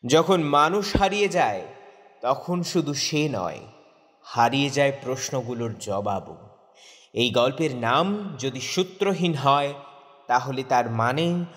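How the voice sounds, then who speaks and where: A man performs a dark, mysterious monologue for an audio thriller. His delivery is ominous and unhurried, with dramatic rises and falls, washed in long reverb. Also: medium pitch.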